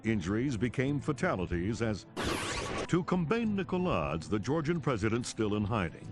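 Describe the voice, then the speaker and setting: A man's voice: mid-range voice, sing-song, normal speed, music in the background, natural voice. A man playing a serious, urgent television news anchor, reading formally and measuredly in a video game cutscene. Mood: grave. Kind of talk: scripted news bulletin in a game.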